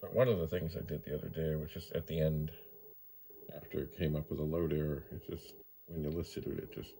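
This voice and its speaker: A man's voice: rumbling low, level tone, brisk, dark tone, slight background noise. A man talking casually as he demonstrates something for a vlog, rambling along and playfully repeating his phrases. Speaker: vlogger demonstrating vintage computers.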